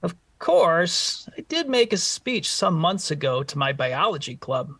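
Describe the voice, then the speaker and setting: A man's voice: fairly high pitch, very animated, unhurried, bright, forceful tone, slightly rough, no echo, far from the mic, full character voice. Man acting bashful, lilting, nervous radio schoolteacher. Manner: hesitant, bashful. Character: shy, earnest, worried male schoolteacher. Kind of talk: 1940s radio comedy acting.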